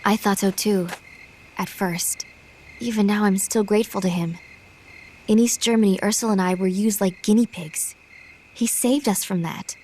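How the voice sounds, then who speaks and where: A woman's voice: medium pitch, fairly even intonation, moderate pace, a little mellow, a little hiss or hum, natural voice. A woman voicing a grave, idealistic young psychic soldier in a video game, earnest and solemn. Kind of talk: dramatic video game voice acting.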